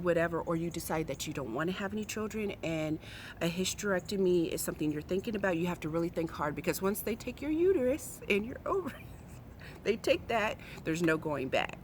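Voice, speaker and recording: Frank, low-voiced woman in her forties. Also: slight background noise. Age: 40s. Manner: frank, chatty, emphatic, talks straight to viewers. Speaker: vlogger. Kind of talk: casual personal vlog, talking to viewers.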